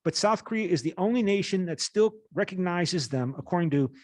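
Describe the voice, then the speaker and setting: A man in his late fifties lecturing as a university teacher, reading aloud and explaining in a halting way with rephrasings. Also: high-pitched, animated, dry recording, clean studio sound.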